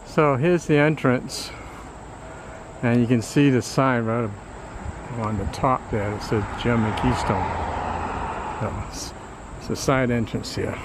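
A man's voice: mid-range voice, fairly even intonation, heavy background noise. An older man casually narrating a walk through a restaurant, filmed on a handheld camera. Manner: plain, unhurried commentary while walking. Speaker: travel vlogger. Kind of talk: casual walking tour narration. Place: restaurant indoors and patio.

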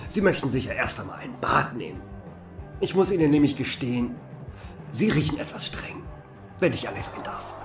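A man's voice: medium pitch, sing-song, normal speed, recorded from a distance, soft background music. An actor playing a wry, courteous older man in an audio drama. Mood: courteous charm. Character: old man Joe, courteous, wry, gallant friend.

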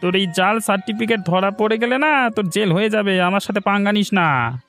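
A man's voice: high-pitched, fairly quick, fairly bright tone, soft background music. A man voicing a cocky, mocking young man in a cartoon moral story, arguing in a taunting, bullying manner. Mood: threatening, scornful. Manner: taunting, argumentative.

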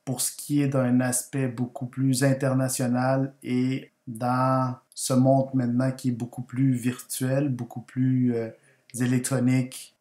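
A man from Guatemala whose first language is Spanish, hosting a language video and explaining things in a measured way, often repeating words for emphasis. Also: medium pitch, darkish tone, roomy sound. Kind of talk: unscripted explainer over slides.

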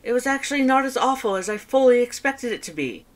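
A woman's voice: on the high side, expressive, fast, voice pushed up, close to an everyday voice. A woman voicing a proud, competitive male skeleton in a fan fiction audiobook, speaking emphatically and formally with theatrical flair. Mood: proud, boastful.

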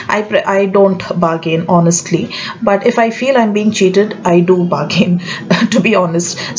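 A woman in her thirties with an Indian English accent, talking through her home makeover in a chatty, frank way with soft laughs. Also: mid-range voice, lo-fi sound. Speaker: home vlogger. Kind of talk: casual home makeover voice-over.

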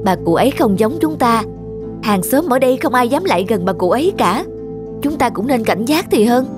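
A woman's voice: a little high, lively intonation, moderate pace, music in the background, natural voice. Woman acting an anxious, scolding cartoon mother. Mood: firm, wary. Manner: scolding, urgent. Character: anxious, protective young mother of a little girl. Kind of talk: dubbed cartoon dialogue.

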